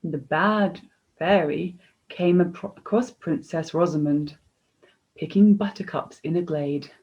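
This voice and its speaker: A woman's voice: low voice, measured pace, darkish tone, husky. A woman storyteller reading a children's story expressively, in a slightly dull, lo-fi recording.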